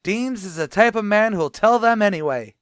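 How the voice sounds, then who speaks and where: A brash, fast-mouthed tavern manager, played by a man in a radio comedy, with a high, bright voice for a man. He talks in cocky, ungrammatical tough-guy patter. The sound is dry and close, with no room around it. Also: animated, clean tone, no echo. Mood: bossy. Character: wisecracking middle-aged male tavern manager. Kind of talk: old-time radio comedy recreation.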